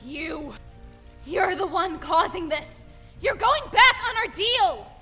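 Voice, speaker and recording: A woman playing a defiant, desperate giant with fire powers in a forceful, dramatic audio drama style. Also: very high voice, expressive, takes it slowly, soft background music, exaggerated voice. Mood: furious.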